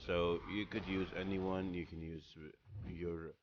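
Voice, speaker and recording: A man's voice: deep voice, level tone, measured pace, lo-fi sound, background noise. A man hosting a game guide, explaining tactics in casual walkthrough commentary. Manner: explanatory, instructional. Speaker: gaming guide host.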